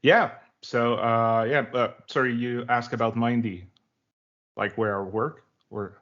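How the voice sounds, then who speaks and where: A man's voice: mid-range voice, fairly even intonation, measured pace, a bit bright, highs cut off. A man in his forties from Quebec, a native French speaker with a Quebec French accent, giving chatty, informal interview answers. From Quebec, Canada. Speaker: interview guest, developer relations director.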